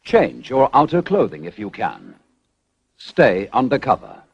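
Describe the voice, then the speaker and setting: British male announcer for vintage civil defence narration: formal and measured, widely varied intonation, muffled band-limited audio. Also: mid-range voice, big pitch swings, creaky, slightly rough, no room sound. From United Kingdom. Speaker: public information film narrator. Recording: dull, band-limited 1970s film soundtrack.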